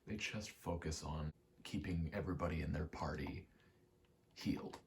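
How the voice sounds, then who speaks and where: Super low creaky guy mumbling soft asmr gaming commentary, drifts into whispers, meanders, swears casually, bit of noise behind. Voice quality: soft-spoken, breathy, often dropping to a whisper. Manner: halting, meandering, casually profane. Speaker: gaming video creator. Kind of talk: rambling ASMR game commentary.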